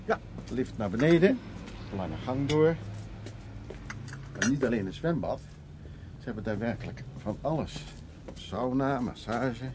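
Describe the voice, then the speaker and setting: An actor playing a gruff, stubborn art restorer in his sixties in a radio play, talking in a casual, halting, naturalistic way. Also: mid-range voice, lively intonation, background noise, natural voice. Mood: relaxed, inviting. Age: 60s. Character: sixty-year-old male art restorer, gruff, stubborn, reflective.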